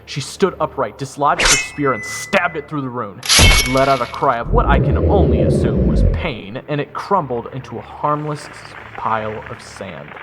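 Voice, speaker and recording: A man's voice: medium pitch, expressive, moderate pace, few pauses, very noisy, close to an everyday voice. A man playing a wry soldier who narrates his own fantasy story in a matter-of-fact way. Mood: urgent. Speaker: narrator of audio roleplay. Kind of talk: first-person scripted narration.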